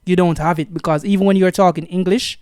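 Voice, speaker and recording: Teasing co-host with a smooth, dry voice. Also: male voice, sits high, fairly even intonation, quick pace, a little mellow, no echo. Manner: teasing, emphatic. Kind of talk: casual interview chat.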